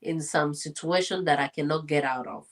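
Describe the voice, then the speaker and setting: A woman from Congo with a somewhat low voice, speaking English as a Swahili speaker. She talks as an interview guest, and her tone is earnest and firm. She explains her views in a steady, reflective way. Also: normal speed. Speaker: interview guest, politician and former translator. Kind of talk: conversational interview.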